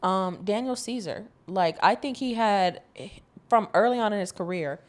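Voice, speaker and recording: A woman's voice: mid-range voice, moderate pace. A woman speaking as a podcast panelist in casual chat, candid and informal.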